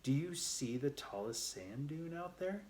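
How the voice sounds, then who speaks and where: A man's voice: on the high side, expressive, unhurried, darkish tone, pitched above the performer's own voice. Man voicing male desert guide, confident, knowing, children's storybook adventurer. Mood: warning. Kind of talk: children's storybook read aloud.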